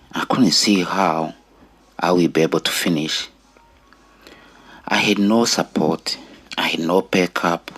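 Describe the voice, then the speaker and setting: A middle-aged man with a Rwandan accent giving an earnest personal testimony in deliberate, short phrases with pauses. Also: low voice, husky. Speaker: storyteller sharing own life story.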